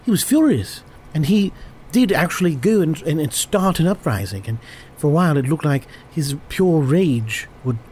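A man's voice: a little high, lively intonation, normal speed, few pauses, darkish tone, slight background noise. A man co-hosting an improvised comedy podcast, answering with dry, understated remarks. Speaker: podcast co-host.

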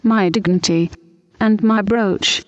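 A woman's voice: medium pitch, steady tone, takes it slowly, muffled recording. A synthetic computer voice playing a prim, easily offended French noblewoman with a formal, stilted delivery. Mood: aggrieved. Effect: computer text-to-speech voice.

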